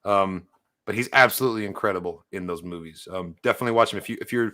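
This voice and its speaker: Host: New Zealand man, lowish bright voice, upbeat casual fan chat. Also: quick pace. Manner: enthusiastic, laughs often, fillers. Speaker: podcast host.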